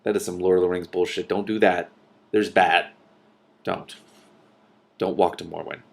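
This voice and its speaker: Low-voiced man reading a story aloud. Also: steady tone, moderate pace, gravelly. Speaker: host reading a novel aloud with commentary. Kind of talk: reading aloud with casual reactions.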